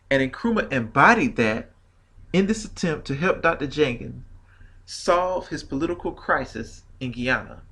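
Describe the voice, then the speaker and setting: A man's voice: a little high, pushed and bright. A man narrating a scripted history lecture, reading from a prepared text with the odd slip and restart. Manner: reads a prepared script with occasional slips and restarts.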